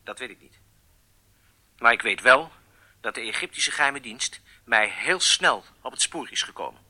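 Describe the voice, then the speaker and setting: A bright, tense captured agent. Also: male voice, medium pitch, expressive, moderate pace. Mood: submissive, careful. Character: captured German agent giving a contrite interview. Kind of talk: radio drama acting.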